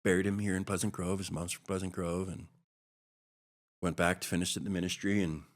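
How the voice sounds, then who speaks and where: A middle-aged man from Hawaii, a podcast guest, telling a personal story in a halting, reflective way with many restarts. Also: low-pitched, little pitch movement.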